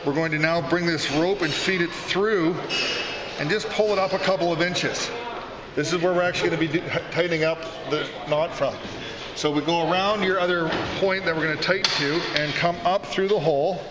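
A man's voice: on the high side, fairly even intonation, a little fast. A man giving a hands-on tutorial as an instructor, explaining step by step. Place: workshop with concrete floor, indoors. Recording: echoey room, loud background noise, clicks.

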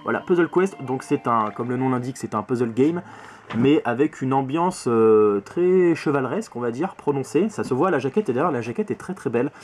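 A man's voice: mid-range voice, lively intonation, fairly quick, a little hiss or hum. A man in his twenties hosting a casual vlog about his game collection, talking to camera in a small room and often repeating words as he goes. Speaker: video game collector and channel host. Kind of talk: casual vlog chat, showing purchases to camera. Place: small bedroom full of games, indoors.

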